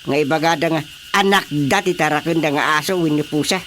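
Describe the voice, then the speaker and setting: A man playing a chatty, grumbling older father in a radio comedy drama, with an Ilocano accent and an animated, mocking way of telling stories. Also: a little high, brisk, slight background noise, lightly acted.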